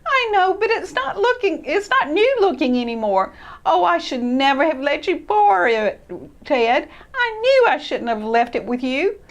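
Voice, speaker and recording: A woman's voice: big pitch swings, normal speed. Woman voicing Christopher, a fretful boy mouse. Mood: pleading, upset. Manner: high, pleading, easily upset. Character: young boy mouse, fretful and protective of his sled.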